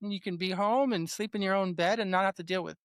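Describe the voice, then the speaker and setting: A woman's voice: medium pitch, fast talker, no echo. Upbeat woman podcast host, dry sound. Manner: enthusiastic, reacts with short interjections. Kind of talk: casual interview conversation.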